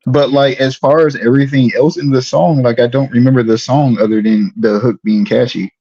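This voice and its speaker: A man's voice: mid-range voice, fairly even intonation, fairly quick, dark tone, breathy edge, dry recording, muffled recording. A man co-hosting a casual podcast chat, easygoing and laughing often. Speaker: podcast co-host.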